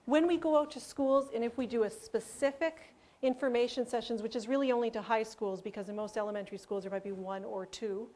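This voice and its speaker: A woman's voice: on the high side, fairly quick, darkish tone. Woman presenting at a conference. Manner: explanatory, with fillers and restarts. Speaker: language education presenter.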